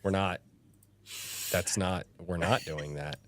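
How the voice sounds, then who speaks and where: A man hosting a casual livestream podcast, animated and rambling, quick to laugh. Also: sits low. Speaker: podcast host.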